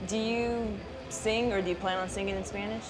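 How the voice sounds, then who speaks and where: A woman's voice: mid-range voice, fairly even intonation, a little fast, low-quality recording. A woman hosts the interview, asking friendly, upbeat questions. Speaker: interview host. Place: music club.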